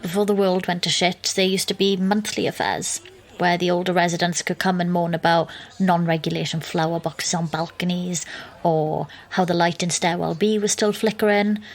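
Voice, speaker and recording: A woman's voice: fairly low pitch, little pitch movement, moderate pace. An actress playing an exasperated, wry young woman, narrating and talking in an audio drama. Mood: wry explaining. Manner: dry, sarcastic.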